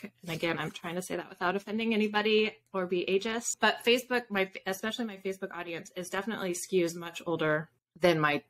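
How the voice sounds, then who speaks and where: A woman's voice: medium pitch, fast talker, hardly any pauses, a bit bright. A woman in her thirties chatting casually as an interview guest, informal and prone to wandering off and rephrasing. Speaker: author and podcast guest. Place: indoor room with bookshelves, on a video call.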